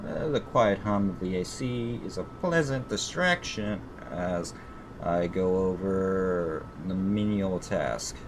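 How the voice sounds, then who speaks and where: A man streaming a visual novel, reading it aloud with profane, exasperated asides and stumbling over words. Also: slow. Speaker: gaming streamer. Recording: rumbly background noise.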